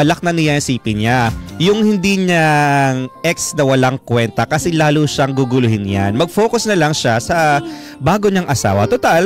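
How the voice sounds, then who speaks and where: Male Filipino radio host, fairly high voice with animated swings, casual delivery, faint music underneath. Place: radio studio.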